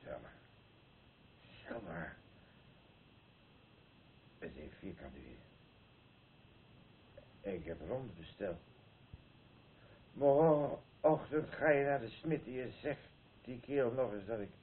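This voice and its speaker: Drunken male bully for radio drama: slurred, slow, volatile pitch, tinny old recording. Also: sing-song, noisy background. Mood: groggy, grumbling. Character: drunken, threatening, bullying man with slurred rambling. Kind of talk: radio play acting.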